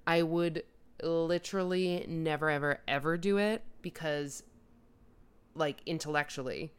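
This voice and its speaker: Low-voiced wry woman co-host. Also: a little slow, smooth. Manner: wry, joking banter with swearing. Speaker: podcast co-host. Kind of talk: casual podcast chat.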